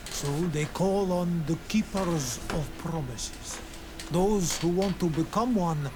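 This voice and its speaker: An actor playing a wary, solemn village keeper of oaths in a fantasy game, speaking in a measured, guarded way. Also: male voice, fairly high pitch, noisy background. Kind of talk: scripted game dialogue acting.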